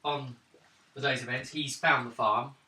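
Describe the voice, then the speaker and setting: A man narrates a tabletop roleplay game as game master, halting and offhand. His voice is very bright and sharp, picked up from a little distance in a roomy space with faint noise. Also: mid-range voice, steady tone. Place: indoor room at gaming table. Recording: echoey room, distant mic, faint noise.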